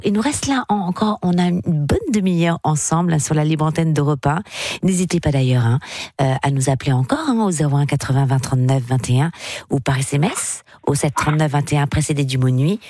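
A woman's voice: low-pitched, darkish tone, no room sound. A woman hosting a late-night radio call-in show in a chatty way, talking things through with listeners from a studio microphone.

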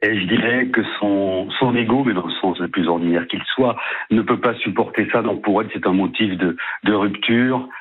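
A man in his fifties calling into a radio show, tired and halting, repeating words as he rambles. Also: fairly low pitch, slightly rough. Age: 50s. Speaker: caller. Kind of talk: phone-in confiding. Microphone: phone. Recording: tinny phone line.

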